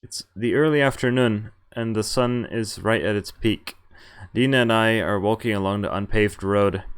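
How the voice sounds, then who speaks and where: A man's voice: lowish voice, steady tone, moderate pace, smooth. A man reading visual novel text aloud as a streamer, breaking off for informal, joking commentary. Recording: game music behind the voice.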